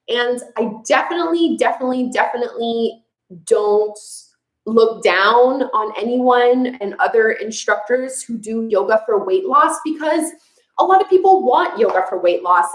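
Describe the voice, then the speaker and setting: A woman's voice: fairly high pitch, echoey room, rough recording. A young woman from Quebec, a French speaker with a Quebec French accent, hosting a casual live chat as a yoga instructor, chatty, with frequent fillers and laughs. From Quebec, Canada. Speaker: yoga instructor, channel host. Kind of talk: live chat answering viewer questions.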